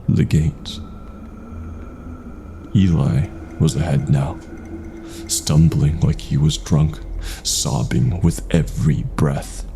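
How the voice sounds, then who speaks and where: A man narrating a scripted horror story in a dramatic, suspenseful way with drawn-out pauses. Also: extremely low pitch, gravelly, mellow, slight background noise.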